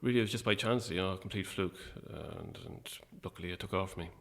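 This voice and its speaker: A man's voice: low voice, fast talker. A middle-aged Irish man chatting casually and modestly as an interview guest about his work. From Ireland. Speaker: guest, playwright and actor.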